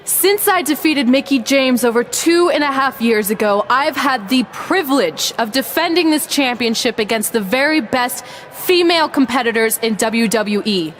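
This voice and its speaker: A woman's voice: high voice, lively intonation, moderate pace, a little hiss or hum. An actress playing a confident young female champion wrestler, self-assured and conversational. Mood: proud, confident.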